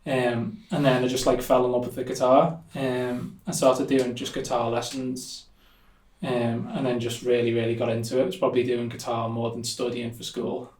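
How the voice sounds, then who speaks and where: A man's voice: mid-range voice, little pitch movement, normal speed, darkish tone. A young man with a Liverpool accent chatting casually as an interview guest, rambling with many fillers. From Liverpool, England. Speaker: musician guest. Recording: echoey room, somewhat distant mic.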